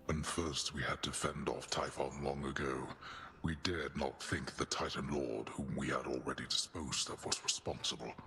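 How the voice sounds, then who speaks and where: A grave, booming elder god. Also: male voice, fairly even intonation, pushed and bright, faint music behind, exaggerated voice. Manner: formal, solemn, measured speech. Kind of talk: game character dialogue.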